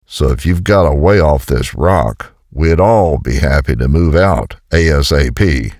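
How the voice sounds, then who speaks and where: An actor playing a gruff, sardonic American colonel, a hardened wisecracking commando, in an audio comic. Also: male voice, rumbling low, expressive, unhurried, a little mellow, no room sound, clean studio sound, full character voice. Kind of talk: dramatic audio comic dialogue.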